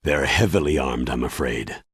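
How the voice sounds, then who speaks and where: Deep, husky, grave military commander. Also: male voice, fairly bright tone, no echo, clean studio sound, heavily acted. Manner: measured, solemn explaining. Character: grave, authoritative older military commander giving a briefing. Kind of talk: video game voice acting.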